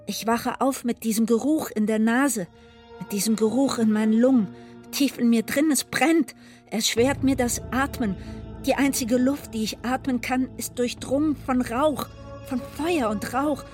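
Radio drama: woman as anguished mother trapped by fire, fairly high voice, breathless urgency, faint music behind. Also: moderate pace, darkish tone, natural voice. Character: desperate mother trapped in her burning house.

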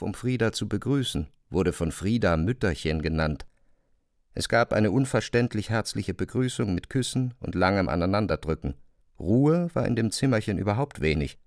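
A man giving a dramatic literary narration for an audio drama, expressive and precise in his diction. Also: fairly low pitch, no echo, studio quality.

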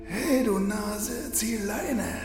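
A woman playing a grumpy, rude mummy who scolds in a drawn-out way, for a children's podcast sketch. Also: mid-range voice. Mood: annoyed, scolding. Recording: echoey hall reverb with loud music.

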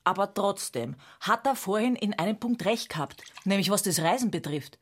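A woman's voice: mid-range voice, lively intonation, normal speed, slightly rough. Chatty, nosy Bavarian landlady. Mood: confiding. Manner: chatty, gushing, colloquial. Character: nosy, chatty landlady, gushing and easily wounded. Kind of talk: radio play acting.

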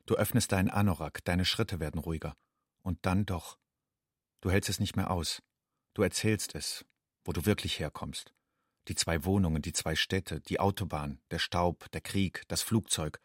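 A man's voice: low voice, breathy edge, no room sound, studio quality. A man playing a haunted, secretive young migrant in a radio play, speaking a literary monologue to himself in terse, inward phrases. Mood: tense, inward.

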